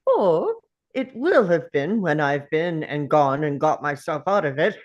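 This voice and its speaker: A woman's voice: sits low, very animated, unhurried, highs cut off. A woman playing a wry, thoughtful, eccentric time traveller in a drama table read, musing aloud. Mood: breezy musing.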